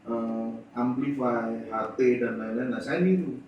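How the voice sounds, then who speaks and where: A man's voice: mid-range voice, unhurried, muffled recording, faint music behind. Man telling stories in an echoey room. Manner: lively anecdotal, finishes the host's phrases. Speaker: guest, animation studio founder. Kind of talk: casual interview storytelling. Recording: echoey room, distant mic, faint noise.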